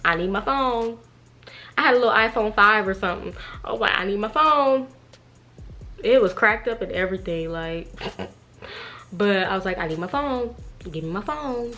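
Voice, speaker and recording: A woman's voice: medium pitch, animated, normal speed, pauses often, sharp tone, faint music behind. A young woman telling a story in a casual, slangy, gossipy way, acting out bits of dialogue as she goes. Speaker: vlogger. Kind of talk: animated casual storytime chat.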